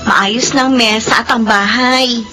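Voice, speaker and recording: An actress playing a cheerful, caring young adult daughter, bubbly and excited, in a radio drama. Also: female voice, on the high side, takes it slowly, loud music behind the voice. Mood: cheerful, busy. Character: cheerful caring grown daughter and older sister.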